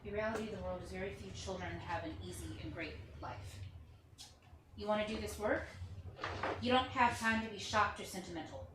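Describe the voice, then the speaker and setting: A woman playing a brisk, frank aid worker in a staged play reading, emphatic and sometimes halting. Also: medium pitch, close to an everyday voice. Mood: frank, firm. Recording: roomy, distant mic, faint noise.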